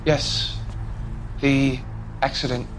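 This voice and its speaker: An actor playing a panicky, self-excusing American suspect in a crime game, defensive and pleading. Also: male voice, mid-range voice, little pitch movement, extremely slow, a little hiss or hum, low-quality recording. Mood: guilty, nervous. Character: panicky, self-excusing male hit-and-run suspect, a surveyor.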